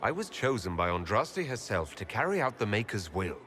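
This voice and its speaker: An actor playing a steady, plain-spoken leader in a fantasy video game, composed and direct. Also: male voice, lowish voice, moderate pace, soft background music. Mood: firm. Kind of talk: video game dialogue acting.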